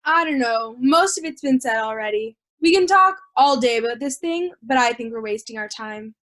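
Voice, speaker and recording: Woman as dismissive juror, high pitch, sarcastic, impatient, amateur audio drama. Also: lively intonation, moderate pace, a bit bright.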